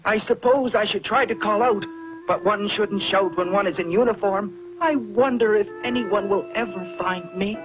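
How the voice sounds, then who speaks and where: A man playing a dutiful little tin soldier in an old storybook cartoon, very high and polite in a proper, stiff-upper-lip way. The sound is dull and lo-fi, with loud music behind. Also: normal speed, lightly acted. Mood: anxious. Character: brave, dutiful male tin soldier toy.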